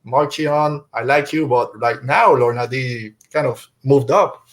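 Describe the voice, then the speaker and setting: A man's voice: mid-range voice, measured pace. Man hosting a casual podcast chat. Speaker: podcast host. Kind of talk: casual review discussion.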